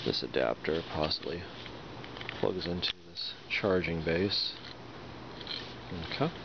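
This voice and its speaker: A man with a very low voice talks casually through a product unboxing. He thinks aloud with some hesitation as he works. The recording is dull and lo-fi, with heavy noise throughout. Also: expressive, slow pace, gravelly. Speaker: product reviewer. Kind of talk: casual unboxing and demonstration talk. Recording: dull lo-fi sound with loud noise.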